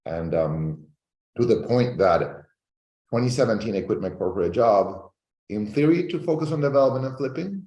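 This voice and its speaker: Man, very low voice, mellow tone, echoey room, animated storyteller. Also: moderate pace, muffled recording. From Venezuela. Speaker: real estate agent guest. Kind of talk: interview storytelling.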